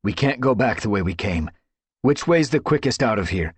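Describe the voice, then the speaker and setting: A man's voice: mid-range voice, expressive, moderate pace, breathy edge, no echo, pitched above the performer's own voice. A man voicing a commanding tomcat clan leader who gives orders.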